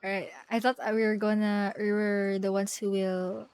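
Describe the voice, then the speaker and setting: A woman's voice: mid-range voice, little pitch movement, moderate pace, darkish tone, clean tone, dry recording. A woman giving casual live commentary as she plays a video game, thinking aloud in short murmured reactions. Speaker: streamer playing a video game.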